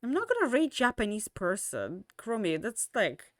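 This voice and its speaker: A young woman streamer chatting casually at the end of a stream, rambling with lots of fillers and restarts, tired and slowly losing her voice. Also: mid-range voice, wildly swooping pitch, dry recording, clean studio sound.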